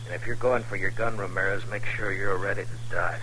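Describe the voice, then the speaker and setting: Blunt western lawman, forceful and terse, old radio drama with faint hiss. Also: deep voice, steady tone, brisk. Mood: accusing. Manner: authoritative, terse, interrogating. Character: stern, commanding frontier lawman, blunt and unflinching. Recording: noisy old radio recording.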